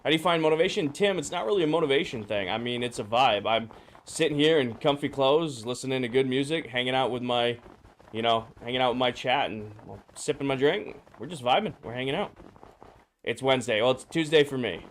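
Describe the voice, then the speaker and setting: A man streaming a game, his voice slightly hoarse and drowsy, casually greeting viewers and answering chat questions. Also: medium pitch, fairly quick, slight background noise. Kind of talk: casual live-stream chat while playing.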